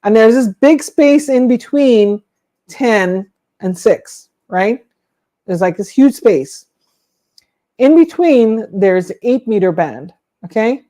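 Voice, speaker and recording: A woman's voice: on the high side, lively intonation, takes it slowly, mellow. A woman co-hosting a livestream, chatting casually about her hobby in an informal, conversational way. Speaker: livestream co-host.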